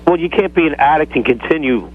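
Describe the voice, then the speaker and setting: A man's voice: a little high, animated, quick pace. A man calling in to a radio show over a phone line, explaining himself in a rambling, evasive way. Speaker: phone-in guest. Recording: thin, band-limited phone line.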